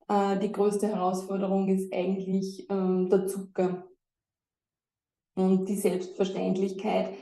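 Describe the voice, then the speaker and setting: A woman, a mother sharing her family's experience in a recorded testimony, pausing often with fillers. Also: medium pitch, dark tone, roomy sound, poor audio. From Austria. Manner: hesitant, many fillers. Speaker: parent of a patient.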